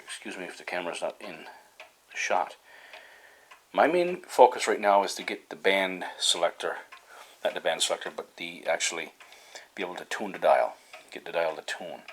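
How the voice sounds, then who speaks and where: A man's voice: low voice, a bit bright. A man giving casual hands-on commentary as a hobbyist repairing something, tentative and muttering as he works. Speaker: hobbyist presenter of a radio repair video. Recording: noisy home recording with ticking and clicks.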